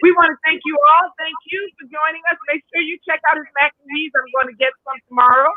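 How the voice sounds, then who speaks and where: A woman's voice: high-pitched, steady tone, fast talker, no echo. A woman from the Bronx co-hosting a cooking show, chatty and jokey in casual talk over a video call. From the Bronx, New York. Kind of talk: casual chat. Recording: tinny phone-like line.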